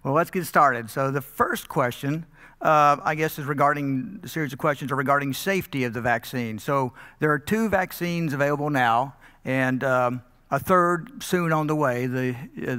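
A man's voice: mid-range voice, moderate pace, sharp tone, clean tone. A middle-aged man moderating an informal question and answer session as the interviewer on stage. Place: on stage in a church auditorium. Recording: echoey hall with long reverb.

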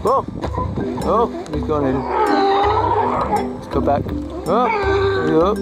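A man, chatting and exclaiming with excitement outdoors on a road trip. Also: on the high side, slow, gravelly, over loud music, low-quality recording. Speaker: travel companion. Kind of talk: casual chat. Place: outdoors on dunes and a harbor pier.

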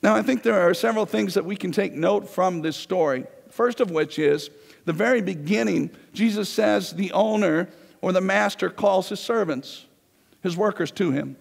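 Pastor, 40s, losing his voice; high, rough tone, chatty preaching style, long church reverb. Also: moderate pace. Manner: conversational preaching, pacing the stage. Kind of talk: sermon. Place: large church sanctuary, indoors.

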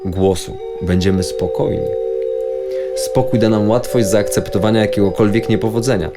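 Man playing a dreamy levitation guide, low pitch, calm, measured, instructive, scripted audio-play narration, heavy music bed with birdsong. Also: natural voice. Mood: calm, philosophical.

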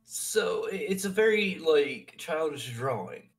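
A man's voice: on the high side, animated, slow pace, creaky, faint music behind, full character voice. A man playing a troubled, guarded, solemn adventurer in an improvised fantasy audio drama, speaking hesitantly and formally. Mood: hesitant, uneasy.

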